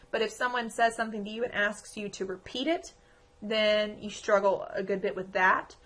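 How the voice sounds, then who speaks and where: A woman lecturer with a croaky voice, giving a conversational exam review with frequent ums. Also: medium pitch, sharp tone, low-quality recording. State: croaky, recovering from lost voice. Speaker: psychology lecturer.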